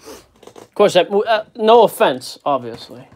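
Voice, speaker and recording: A man's voice: high voice, very animated, slow pace, slight background noise. A man hosting a card break, casually joking while he reads out player names from the packs. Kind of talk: casual card-opening chat. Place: indoor card-breaking table.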